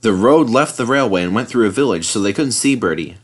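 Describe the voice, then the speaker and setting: A man's voice: fairly low pitch, lively intonation, fairly quick, breathy edge. A man reading a children's story as scripted narration. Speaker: storybook reader.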